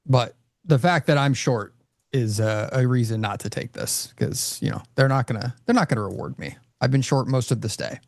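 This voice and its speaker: A man's voice: mid-range voice, animated, normal speed, vocal fry, no room sound, clean studio sound. A man casually talking through his trades on a live stream, rambling with lots of fillers. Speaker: trader host. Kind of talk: live trading commentary.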